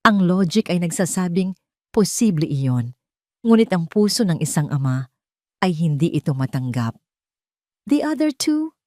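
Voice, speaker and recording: A woman with a Filipino accent tells a scripted drama as its narrator. Her pitch sits in a narrow, low band for a woman, and the sound is very dry. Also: expressive, normal speed, no echo. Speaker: audio story narrator.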